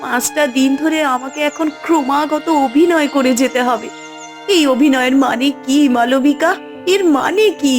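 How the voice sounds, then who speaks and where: A woman's voice: high voice, moderate pace, soft background music, natural voice. A woman voicing a sorrowful fairy mother in a fairy-tale cartoon, emotive, pleading and dramatic. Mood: anguished. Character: sorrowful fairy mother hiding her identity from her daughter. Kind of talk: Bengali fairy-tale cartoon dub acting.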